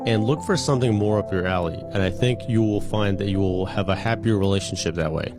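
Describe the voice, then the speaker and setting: A man answering as an interview guest in a measured, advising way. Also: fairly low pitch, over music.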